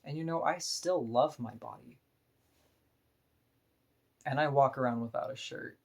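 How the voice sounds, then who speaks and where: A woman with a deep voice, talking bluntly and frankly in a candid personal vlog monologue, laughing now and then between points. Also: a little slow, vocal fry, darkish tone. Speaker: vlogger.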